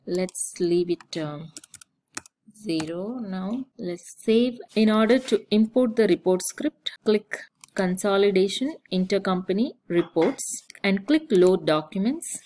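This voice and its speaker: A woman giving a screen-recorded software tutorial, explaining steps one by one with the odd filler. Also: medium pitch. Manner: steady step-by-step instruction with occasional fillers. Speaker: software trainer.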